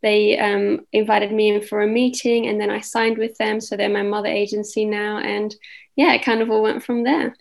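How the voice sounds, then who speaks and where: A Dutch-born woman in her twenties, with a mixed Australian and London accent, chatting relaxed as a podcast guest with many ums. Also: mid-range voice, normal speed, creaky. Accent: Australian-English mix. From Netherlands. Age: 20s. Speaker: guest model. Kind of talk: casual interview chat.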